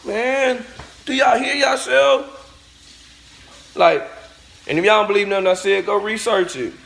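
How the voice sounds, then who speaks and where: High-voiced man ranting bluntly. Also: a little slow, gravelly, a bit bright. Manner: blunt, profane, preachy, talks straight at the viewers. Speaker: vlogger. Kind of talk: ranting monologue to camera.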